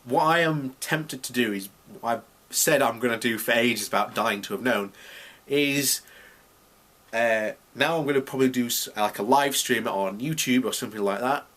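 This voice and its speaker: A man's voice: medium pitch, moderate pace, a bit bright. A man giving unscripted, opinionated commentary as a video blogger, speaking emphatically and indignantly and repeating himself to drive points home.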